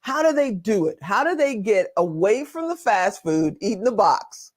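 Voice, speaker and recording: A woman's voice: medium pitch, big pitch swings, moderate pace. A woman hosting an interview show, chatty and jokey, asking long rambling questions. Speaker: talk show host. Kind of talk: casual interview conversation.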